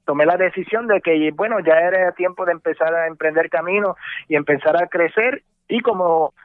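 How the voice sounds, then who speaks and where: Casting: radio man, somewhat high voice, laid-back storyteller, heard over a dry, tinny phone line. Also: dry recording. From born in New York, raised between Puerto Rico and the US. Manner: relaxed, many fillers, mixes English words. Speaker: radio host guest. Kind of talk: casual interview, recounting career.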